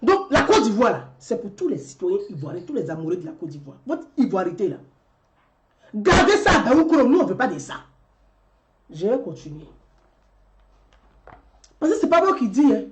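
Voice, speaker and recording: A woman's voice: mid-range voice, very animated, normal speed, long pauses, background noise. A woman, delivering a rhetorical political commentary and repeating her questions. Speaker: commentary vlogger.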